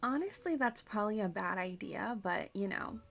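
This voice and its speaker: Casting: female game streamer, gravelly fry, casual and rambling, giggly, self-mocking delivery. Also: mid-range voice, expressive, moderate pace, fairly bright tone. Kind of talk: casual livestream chat over gameplay.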